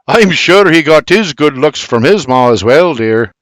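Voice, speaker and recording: A man's voice: a little high, expressive, measured pace, a bit bright, no room sound, clean studio sound, pitched above the performer's own voice. A man voicing a bearded farmer father with a barking, teasing way of talking.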